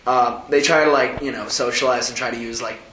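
Teen guy chatting in a roomy space, bright voice, says like a lot. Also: steady tone, a little fast. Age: teens. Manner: slangy, peppered with "like". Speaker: teen discussion panelist. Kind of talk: casual roundtable chat. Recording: echoey room with faint rumbly noise.

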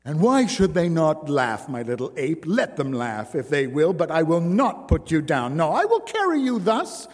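A man's voice: a little high, sing-song, normal speed, hardly any pauses, in a large hall, pitched above the performer's own voice. A man voicing a strong, boisterous bride who laughs and teases her tiny husband. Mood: teasing hearty. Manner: hearty, mocking, loud.

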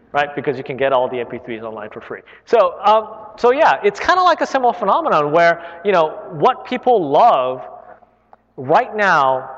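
A man's voice: a little high, fairly bright tone. A man in his thirties, from Korea and not a native English speaker, answering audience questions in a casual, chatty way full of "right?" and "uh". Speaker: internet company chief executive. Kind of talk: live Q&A talk. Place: large public library hall. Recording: dull hall recording with long reverb.

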